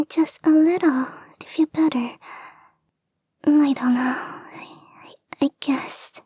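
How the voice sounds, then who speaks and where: A woman voicing a clingy, lovestruck young kitsune fox girl in a soft, breathy, often whispered ASMR romance roleplay, coy and gushing. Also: sits high, no room sound. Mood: shy, hurt. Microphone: close microphone, dry.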